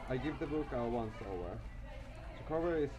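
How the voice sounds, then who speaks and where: A man's voice: mid-range voice, animated, a little fast, muffled recording. A man doing an amateur let's play, reading a visual novel aloud with casual commentary and stumbling over words. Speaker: let's player. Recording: music behind.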